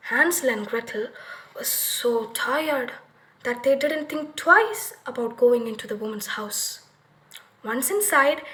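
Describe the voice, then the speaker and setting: High-pitched woman telling a children's story. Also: fairly bright tone, low-quality recording. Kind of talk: expressive children's story narration.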